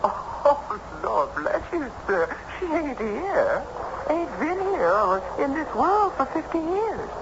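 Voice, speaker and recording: Lively, folksy, blunt townswoman. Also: high voice, slow pace, full character voice. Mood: blunt, incredulous. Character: plain-spoken, sceptical townswoman, hospitable housewife. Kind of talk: old-time radio drama acting. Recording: noisy dull old radio recording.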